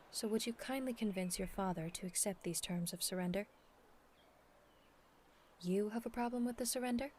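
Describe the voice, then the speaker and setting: A woman playing a dignified, earnest demon princess in a scripted ASMR audio roleplay, speaking in a formal, measured, persuasive way. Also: medium pitch, a little mellow, slight background noise, lightly acted. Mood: insistent. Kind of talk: scripted ASMR roleplay acting, addressed to the listener.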